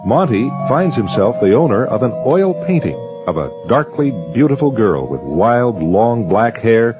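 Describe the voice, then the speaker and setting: A man hosting a radio suspense drama with measured, portentous scripted narration. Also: medium pitch, very animated. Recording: dull radio recording with loud organ.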